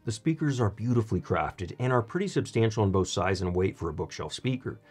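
Male reviewer, slightly low voice, scripted narration for video reviews. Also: lively intonation, a little fast, a little mellow, husky. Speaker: product reviewer and channel host.

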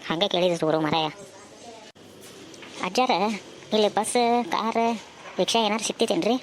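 A woman's voice: mid-range voice, normal speed, lightly acted. A woman voicing a timid, easily frightened young male traveller in an amateur cartoon, with an anxious manner and a North Karnataka Kannada accent. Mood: questioning.

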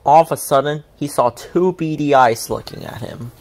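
A woman reading a children's picture book aloud as an expressive storyteller. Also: low-pitched, moderate pace. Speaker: storybook reader.

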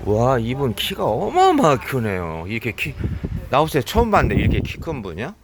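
A man with a cold, chatting casually as he films himself shopping in a convenience store, filling pauses with "yes". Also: mid-range voice, huge pitch swings, noisy background. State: has a cold. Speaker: vlogger. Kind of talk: casual running commentary while shopping.

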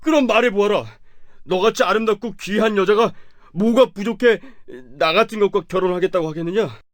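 A man playing a poor, simple young woodcutter in a children's folktale, earnest and excitable. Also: high voice, a little fast, no echo, studio quality, natural voice. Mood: indignant. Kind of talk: children's animated folktale acting.

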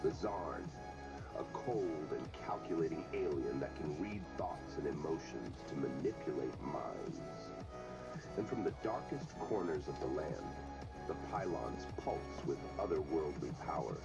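Deep-voiced man acting as trailer announcer, ominous, grandiose, dramatic narration, dull audio, loud music behind. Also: lively intonation, measured pace, gravelly, full character voice.

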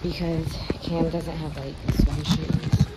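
A woman's voice: low-pitched, takes it slowly. A young woman in her twenties talking casually to her phone camera, recapping the day. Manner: relaxed, chatty, recapping the day. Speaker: vlogger. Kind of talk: casual vlog talk to camera. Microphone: phone at arm's length. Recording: noisy outdoor phone audio.

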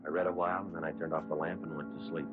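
An actor playing a terse, businesslike American police sergeant in an old radio crime drama, deadpan and matter-of-fact. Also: male voice, rumbling low, rapid-fire, music in the background. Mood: grim, matter-of-fact. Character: terse, businesslike male police sergeant narrating his manhunt. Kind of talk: 1950s radio crime drama narration and dialogue. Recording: muffled old radio recording.

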